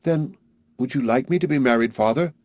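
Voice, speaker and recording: A man reading aloud, voicing an earnest, loving young village girl who talks gently and simply. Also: medium pitch, fairly quick, dry recording, studio quality, close to an everyday voice. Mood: tender.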